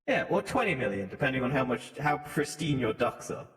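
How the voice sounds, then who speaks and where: A man's voice: mid-range voice, big pitch swings, a little fast, over loud music. Male podcast co-host, irreverent, sweary banter, processed voice with long hall reverb. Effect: voice modulator. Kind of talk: casual joking banter.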